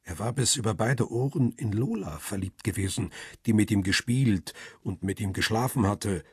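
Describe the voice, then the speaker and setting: An actor playing a world-weary, guarded paparazzo who narrates a radio crime drama in a measured, understated, husky way. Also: male voice, on the low side, expressive, a little mellow, no echo, clean studio sound, full character voice. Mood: reflective.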